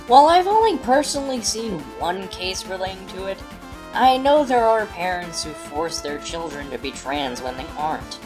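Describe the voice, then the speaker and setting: A woman's voice: on the low side, very animated, moderate pace, over music, rough recording. A woman speaking as a guest commentator in a video, giving scripted commentary.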